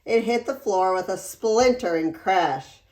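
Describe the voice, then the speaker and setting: A woman reading a children's storybook aloud in an expressive, deliberate storytelling manner. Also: mid-range voice. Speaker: read-aloud narrator. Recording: roomy echo.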